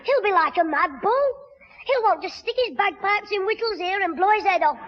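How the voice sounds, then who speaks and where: A man's voice: very high voice, animated, normal speed. A man playing a cheeky, wisecracking young schoolboy in a 1960s radio sitcom. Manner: sarcastic wisecracking. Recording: muffled, noisy old radio recording.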